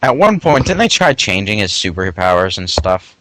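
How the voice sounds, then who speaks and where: A man's voice: low voice, big pitch swings, moderate pace, noisy background. A man co-hosting a casual group commentary in a crude, sarcastic way.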